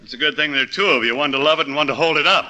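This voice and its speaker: Skeptical wisecracking lawyer for an old radio comedy voiced by a man, loud hiss on the recording. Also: a little high, racing, lightly acted. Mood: sardonic. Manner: sardonic, blunt. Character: skeptical sardonic lawyer friend Bill Cole. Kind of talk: 1940s radio comedy acting.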